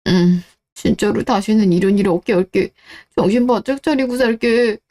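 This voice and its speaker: Woman playing a pleading husband, soft dark tone. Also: medium pitch, normal speed, lightly acted. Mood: pleading, apologetic. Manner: pleading, self-justifying. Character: pleading, defensive disgraced husband, evasive and desperate. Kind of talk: radio-drama story dialogue.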